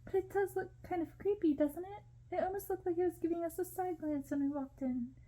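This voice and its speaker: A woman's voice: very high voice, brisk, mellow, voice pushed up. A woman reading a storybook in ASMR style, voicing a timid, sweet young princess with a meek, girlish manner. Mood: nervous, giggly.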